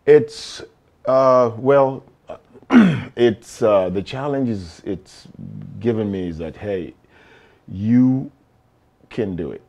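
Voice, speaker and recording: Deep, mellow middle-aged man from Kenya. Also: takes it slowly. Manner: relaxed storytelling with frequent restarts and chuckles. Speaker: actor, interview guest. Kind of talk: casual interview chat.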